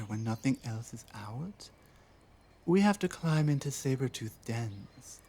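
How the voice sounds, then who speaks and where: A woman voicing an earnest, honor-bound female orc in an ASMR roleplay, formal yet halting in manner. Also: deep voice, dark tone.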